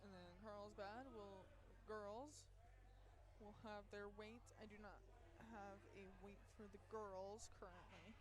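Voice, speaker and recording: Slow, halting woman announcing a lift meet. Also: medium pitch, steady tone. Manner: halting, with frequent pauses and fillers. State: strained voice from yelling. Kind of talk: live powerlifting commentary. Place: school gym at a powerlifting meet.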